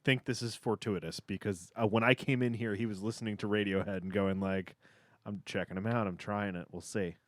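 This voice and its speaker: A man's voice: lowish voice, steady tone, brisk, dry recording. A man co-hosting a podcast, joking with guests in teasing, sarcastic banter. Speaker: podcast co-host. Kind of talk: casual chat.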